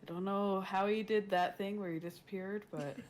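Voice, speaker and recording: A woman's voice: medium pitch, fairly even intonation, normal speed. A woman chatting casually as a player in a tabletop roleplaying game. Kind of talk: casual chat during a game. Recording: clear background noise.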